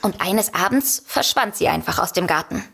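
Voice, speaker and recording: A woman's voice: mid-range voice, expressive, moderate pace, gravelly, fairly bright tone, husky, exaggerated voice. An actress playing a composed, sensible but worried woman novelist, explaining things earnestly in an audio drama. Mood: worried, indignant.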